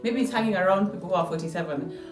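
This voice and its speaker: A woman's voice: lowish voice, very fast. Woman in her forties, echoey distant recording. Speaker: channel host. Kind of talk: casual vlog introduction. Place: indoors in a house. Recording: roomy echo, distant mic, music behind. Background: background music.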